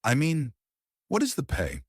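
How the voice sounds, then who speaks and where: A man's voice: on the high side, wildly swooping pitch, measured pace, slightly rough, dry recording, studio quality, voice pushed up, exaggerated voice. A man voicing a humble, earnest and grateful working woman in a narrated story. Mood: hopeful. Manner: earnest, polite.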